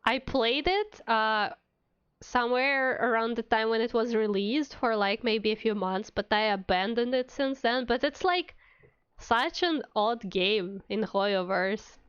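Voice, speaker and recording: A woman's voice: fairly high pitch, measured pace, fairly bright tone, clear, resonant tone, no echo, lo-fi sound. A woman streaming a game, chatting casually and musing aloud, trailing off mid-thought. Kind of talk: casual livestream chat over a game.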